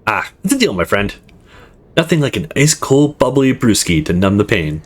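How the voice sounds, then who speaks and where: A man's voice: lowish voice, sing-song, normal speed. A man voicing a boastful young wannabe ladies' man in a visual novel, pompous and theatrical. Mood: cheerful.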